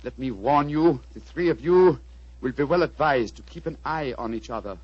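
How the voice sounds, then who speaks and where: A man's voice: medium pitch, expressive, normal speed, no room sound, natural voice. An actor playing an authoritative male detective, precise and declamatory in 1940s radio style. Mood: incisive. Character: brisk, authoritative male detective travelling incognito. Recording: muffled, noisy 1940s radio recording with hum.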